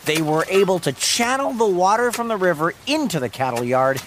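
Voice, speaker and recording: A man's voice: sits high, sing-song, brisk, fairly bright tone, a little hiss or hum. A man narrating a story for children as a podcast host, playful and wry, with dramatic emphasis. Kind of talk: animated storytelling narration of Greek myths for children.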